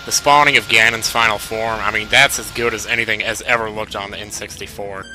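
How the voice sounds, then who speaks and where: A man's voice: lowish voice, fairly even intonation, fairly quick, pushed and bright, poor audio. A man hosting a video game countdown with scripted narration, conversational and slangy, with wry asides. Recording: music behind the voice. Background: music.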